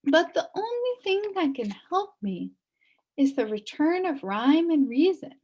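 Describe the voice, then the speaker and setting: A woman's voice: high voice, very animated, a little slow, darkish tone, rough recording. A woman voicing a sad, wistful elderly woman recounting her downfall in a storybook. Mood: sad, resigned. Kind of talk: storybook character reading.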